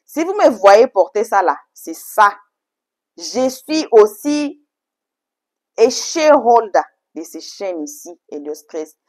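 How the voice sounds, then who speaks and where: A woman's voice: medium pitch, sing-song, slow, a little mellow. A woman whose first language is English, chatting to viewers as a channel host in an emphatic, repetitive way. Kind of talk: casual, chatty introduction to story videos.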